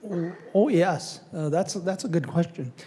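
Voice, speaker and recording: An older man giving a public talk and answering audience questions, speaking haltingly with many fillers and restarts. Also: sits high, dark tone, slightly rough. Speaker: lecturer on Native studies.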